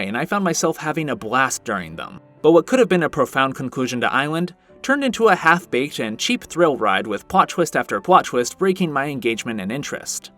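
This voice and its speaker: A man's voice: medium pitch, fairly bright tone. A man narrating a scripted video review with casual asides, in an emphatic, opinionated, conversational manner. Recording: music playing behind the voice. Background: background music.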